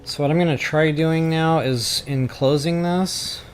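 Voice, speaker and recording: A man's voice: on the high side, fairly even intonation, a little slow, a little hiss or hum. A man hosting a video game building tutorial, informal and mildly self-deprecating as he thinks aloud. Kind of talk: casual screen-recorded game building tutorial. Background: music.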